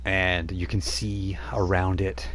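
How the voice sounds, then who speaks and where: A deep-voiced man narrating as game master, wandering through his descriptions with loose, offhand asides. A faint hiss runs under the voice. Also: little pitch movement, slow, fairly bright tone. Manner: loose, rambling scene-setting with casual asides.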